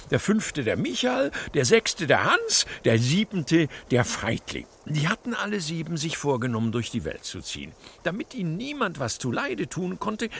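A man's voice: on the high side, creaky, a bit bright, slight background noise, exaggerated voice. A man playing a fussy, pedantic storyteller and scholar who is easily flustered, in a children's radio play. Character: fussy, indignant scholarly fairy-tale author, adult man.

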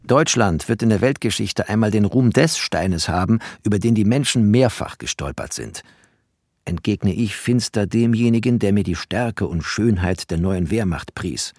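An actor playing a wry, tender, bookish refugee who narrates a radio drama in terse poetic phrases. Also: male voice, fairly low pitch, animated, normal speed, darkish tone, no echo, clean studio sound. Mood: wry, sombre.

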